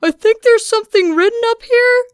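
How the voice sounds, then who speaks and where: A woman voicing a male police officer who calls out loudly from a distance. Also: extremely high pitch, moderate pace, no room sound, clean studio sound, pitched above the performer's own voice. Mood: calling. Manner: loud, calling out.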